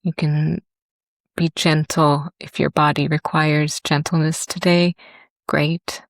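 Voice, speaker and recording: A woman teaching a guided meditation and body scan in a gentle, unhurried manner with long pauses. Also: sits low, level tone, no room sound, clean studio sound.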